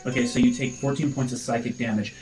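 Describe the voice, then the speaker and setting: A man working as game master, improvising dramatic tabletop narration in halting phrases. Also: mid-range voice, fairly even intonation, music in the background, low-quality recording.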